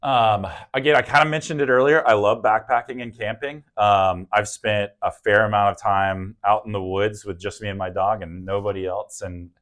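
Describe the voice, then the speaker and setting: A man's voice: on the low side, steady tone, moderate pace, few pauses, fairly bright tone. A man from the American South, a real estate agent as guest, talking earnestly and reflectively with frequent ums and restarts. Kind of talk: casual interview conversation.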